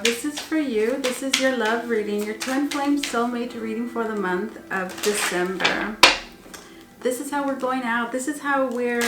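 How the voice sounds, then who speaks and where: Woman tarot reader losing her voice. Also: a little high. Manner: rambling, repeats words with many ums and uhs. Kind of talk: tarot reading for viewers. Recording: echoey room with faint noise.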